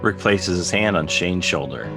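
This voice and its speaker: A man with a husky voice narrating scripted scene directions for a dramatic table read. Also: sits low, normal speed. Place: remote video call read. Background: music under the voice.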